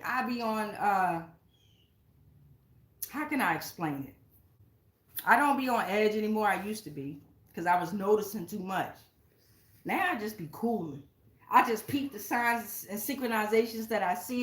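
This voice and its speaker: A woman's voice: a little high, a bit bright, reverberant. A woman giving a casual spiritual tarot reading, speaking straight to her viewers in an emphatic, blunt, sweary way. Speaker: tarot reader, channel host. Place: indoors at home.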